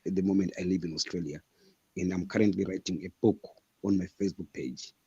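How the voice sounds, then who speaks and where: A man's voice: low-pitched, steady tone, fast, warm tone, husky, no echo, dull audio. A man in his thirties from Zimbabwe, a guest author answering interview questions in an earnest, emphatic way and repeating his points. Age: 30s.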